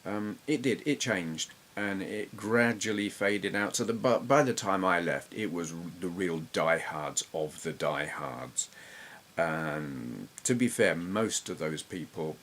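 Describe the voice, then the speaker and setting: A middle-aged man with an English accent and a low-pitched voice chats casually. He rambles and reflects, pausing as he gathers memories. There is some background noise on the recording. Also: a bit bright. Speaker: retro gaming YouTuber. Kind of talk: casual gameplay commentary and reminiscence.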